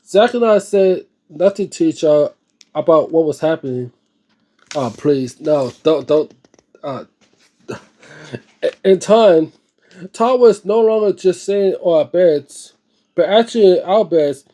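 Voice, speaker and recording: A man's voice: on the high side, animated, takes it slowly, long pauses, dark tone. A man reading a book aloud in an informal way, stumbling over words here and there and adding casual remarks of his own. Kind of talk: read-aloud narration with casual, off-the-cuff reactions.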